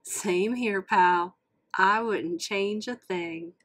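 A woman's voice: mid-range voice, extremely slow, a bit bright. A woman voicing an earnest, friendly young male race car in a storybook read-aloud. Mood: warm.

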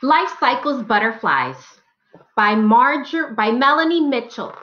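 A woman's voice: a little high, sing-song, slow, highs cut off, slight background noise. A woman in her thirties reads picture books aloud for children, expressively and with careful phrasing, as a teacher would.

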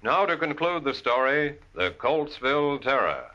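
A man's voice: mid-range voice, big pitch swings, normal speed. A man with an American accent giving scripted narration as an old-time radio drama announcer. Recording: muffled vintage broadcast, music behind.

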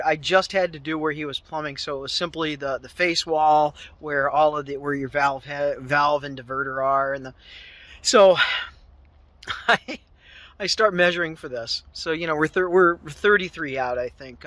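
A man in his fifties talks casually into a phone held at arm's length, rambling along and laughing at his own slip-ups. His voice sits a little high and sounds bright and forceful. The sound is close and dry inside a small tool trailer. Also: no room sound. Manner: rambling, chuckling, self-deprecating. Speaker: part-time contractor. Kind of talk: casual walk-through vlog. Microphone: phone camera held at arm's length.

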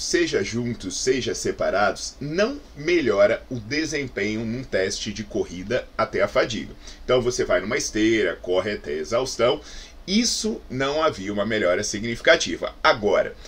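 A man's voice: medium pitch, a little hiss or hum. A man in his forties with a Brazilian accent, giving an informal, conversational explainer to camera with rhetorical questions. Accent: Brazilian Portuguese. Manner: animated, conversational, frequent "né" tags and rhetorical questions. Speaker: fitness science YouTuber, presenter. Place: small indoor office with bookshelves.

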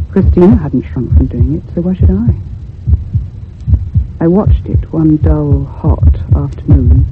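A man's voice: a little high, lightly acted. A man playing a frightened narrator who recounts a haunting in grave, dramatic radio-drama style. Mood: haunted, troubled. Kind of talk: dramatic first-person radio thriller narration. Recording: muffled, noisy old radio recording with hum.

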